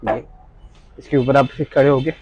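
A young man giving a casual, polite sales pitch, quoting products and prices as a shop salesman. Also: little pitch movement, normal speed, a little mellow, dull audio, slight background noise. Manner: polite, addresses customer as sir. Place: small tiled fitness equipment shop, indoors.

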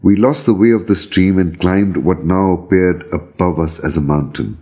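A man narrates his own story in a very low voice with a soft, dark tone. His delivery is measured and solemn, and there is a husky grain to it as he reads. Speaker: storyteller reading own account. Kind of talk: scripted narration of a visionary journey.

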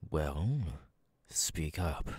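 Guy doing a cold bossy demon lord for asmr roleplay, real slow n low, breathy murmuring, kinda menacing. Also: big pitch swings, darkish tone, dry recording, full character voice. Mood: menacing, domineering. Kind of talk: ASMR audio roleplay monologue.

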